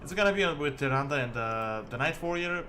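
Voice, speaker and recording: A man from Serbia, chatting casually to viewers as a game streamer in a halting, rambling way peppered with "like". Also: fairly high pitch, animated, pushed and bright. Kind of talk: casual livestream chat with viewers. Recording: music behind the voice. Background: music.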